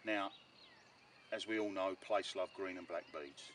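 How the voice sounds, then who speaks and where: A middle-aged man with a fairly low voice explains gear in a casual, halting way, repeating words as he goes. He is recorded outdoors at some distance from the mic, with faint noise around him. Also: fairly bright tone. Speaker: angler demonstrating his fishing rig. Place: outdoors on a pebbly shore beside bare bushes. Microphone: camera a few metres away.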